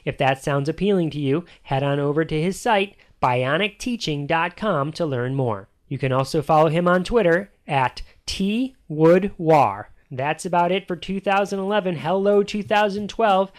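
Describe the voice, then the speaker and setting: A man's voice: on the high side, normal speed, hardly any pauses. A man hosting a podcast, reading announcements and sign-off notes while addressing listeners in a friendly, direct way. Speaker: podcast host.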